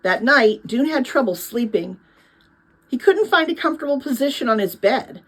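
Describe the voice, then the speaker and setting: Woman reading a story, very lively pitch. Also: moderate pace. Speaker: audiobook reader. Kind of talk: storybook read-aloud narration.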